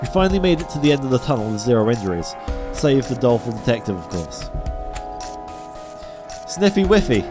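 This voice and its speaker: Male game streamer, mid-pitched, theatrical story reading with casual asides, music playing behind. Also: very animated, a little fast, long pauses. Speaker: game streamer reading a visual novel aloud. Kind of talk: live narration with casual commentary. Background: video game music.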